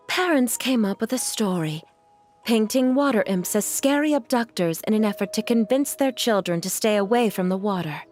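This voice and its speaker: Woman acting as genteel game mother, middle pitch, gentle, courteous, measured, music underneath. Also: natural voice. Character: refined, gentle, courteous mother and wealthy employer.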